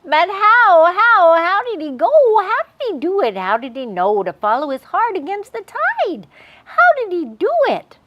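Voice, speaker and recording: A woman's voice: very high voice, very animated, measured pace, highs cut off, voice pushed up, exaggerated voice. A woman voicing a young chickapig boy in a storybook, plaintive and full of earnest, insistent wonder. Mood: pleading wonder.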